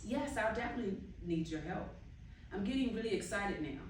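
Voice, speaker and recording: A woman's voice: mid-range voice, expressive, fairly quick, a little hiss or hum, natural voice. A woman reading aloud as an eager young female photographer in a novel, recorded from afar in an echoey room. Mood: excited. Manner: read aloud from a novel in first person. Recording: echoey room, distant mic.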